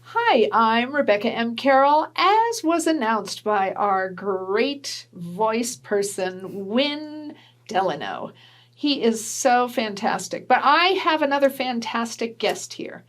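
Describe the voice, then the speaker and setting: A woman's voice: on the high side, lively intonation, slow. A woman from New Hampshire hosting an interview, chatty and encouraging with frequent affirmations. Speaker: host.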